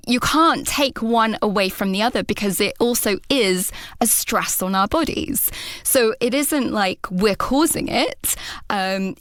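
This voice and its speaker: A woman hosting an interview who talks in a thoughtful, personal way, with hesitations and soft laughs. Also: medium pitch, dry recording, studio quality. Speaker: host. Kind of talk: reflective conversational interview talk.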